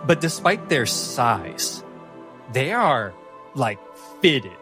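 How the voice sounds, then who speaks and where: A man's voice: mid-range voice, very animated, extremely slow, a bit bright, faint music behind. A man acting as game master, narrating a tabletop game with descriptive, casually phrased improvisation.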